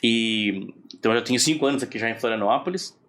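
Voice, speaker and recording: A man in his forties from southern Brazil, a guest on a podcast, telling his story in a relaxed, rambling way with laughs and restarts. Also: medium pitch, fast. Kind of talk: casual storytelling in conversation.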